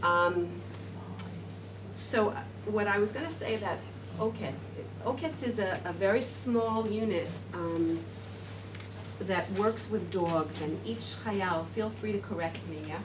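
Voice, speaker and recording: A woman's voice: mid-range voice, measured pace, far from the mic. A middle-aged woman talking informally to a seated group in a classroom, rambling with many fillers and restarts. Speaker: speaker addressing a group. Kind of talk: informal talk to a seated audience. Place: classroom, indoors. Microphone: far camera. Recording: roomy classroom sound from afar with noise.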